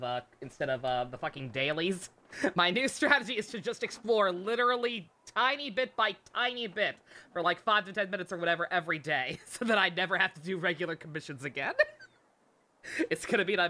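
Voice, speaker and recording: A woman's voice: lowish voice, pushed and bright. A woman streaming a game with casual live commentary, blunt and slangy, swearing freely.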